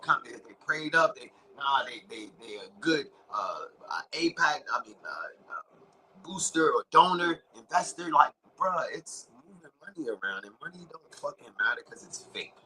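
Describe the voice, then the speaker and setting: A man in a casual, rambling monologue, talking in profane slang and filling it with "bro" and "right?". He jumps from loud, emphatic bursts to low whispered asides. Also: low-quality recording.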